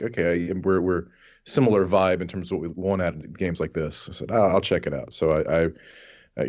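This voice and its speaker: Deep-voiced man, dull lo-fi audio. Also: darkish tone. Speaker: podcast panelist. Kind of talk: casual game review chat. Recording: dull, band-limited voice audio.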